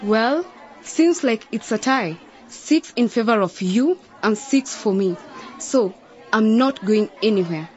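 A woman's voice: fairly high pitch, animated, takes it slowly. An actress playing a defiant young woman in a choir who mocks its leader, in a radio drama on a dull lo-fi recording. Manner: defiant, mocking.

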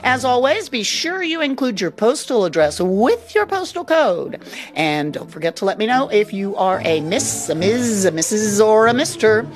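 Animated female radio host. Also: medium pitch, normal speed, soft background music. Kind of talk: chatty radio presenting.

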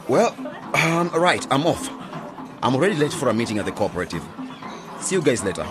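An actor plays a modest, earnest young man from a village cooperative in a radio drama. His pitch is middling but moves around animatedly, and he comes across as sincere. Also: big pitch swings, brisk, over music, lightly acted. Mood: upbeat, reassuring.

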